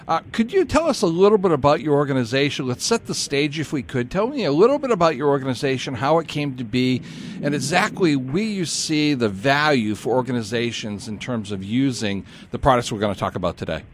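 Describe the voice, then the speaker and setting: A man hosting an interview show, introducing guests and asking them questions in a lively, sing-song way. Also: mid-range voice, brisk, a little hiss or hum. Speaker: radio show host. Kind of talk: hosted business interview.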